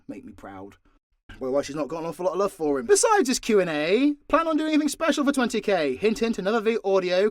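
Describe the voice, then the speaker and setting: A man's voice: very high voice, no room sound, clean studio sound. A British man casually answering fan questions off the cuff, sweary and joking with mock exasperation. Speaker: audio roleplay creator and voice actor.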